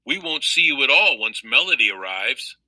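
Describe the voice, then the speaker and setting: A man's voice: medium pitch, very animated, normal speed, pushed and bright, no room sound, exaggerated voice, low-quality recording. A man voicing a chatty, doubting and slightly catty schoolgirl friend in a children's story. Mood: sulky.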